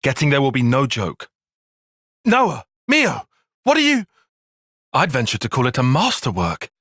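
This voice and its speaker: Precise young tactician, lively pitch swings. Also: male voice, no room sound, clean studio sound, exaggerated voice. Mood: measured, reserved, then alarmed, urgent. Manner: measured, formal, analytical phrasing. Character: earnest young male tactician soldier, precise and bookish. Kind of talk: video game cutscene voice acting.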